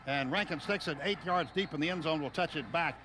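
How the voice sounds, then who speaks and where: American man, high voice, live football play-by-play, clipped phrases, noisy outdoor broadcast. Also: fairly quick. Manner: clipped running description in short phrases. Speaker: radio play-by-play announcer.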